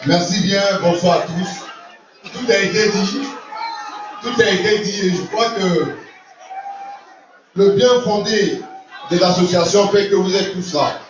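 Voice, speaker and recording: A man's voice: high voice, a little slow, long pauses, background noise. A man giving a jovial, laughing speech as sponsor at a community gala, often repeating himself. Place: large event hall. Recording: roomy, distant, lo-fi recording with crowd. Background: crowd of guests.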